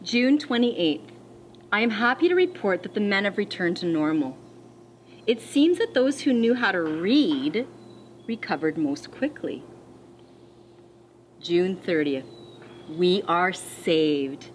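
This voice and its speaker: A woman schoolteacher reading a children's storybook aloud, expressively and deliberately, with dramatic pauses. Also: medium pitch, expressive, a little hiss or hum. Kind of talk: read-aloud of a children's storybook with teacher asides.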